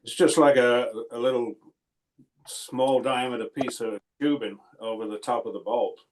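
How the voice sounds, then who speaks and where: A man's voice: medium pitch, fairly even intonation, moderate pace, a little hiss or hum, poor audio. A man giving a casual technical explanation on a group call, stumbling and repeating words as he explains. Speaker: technical adviser.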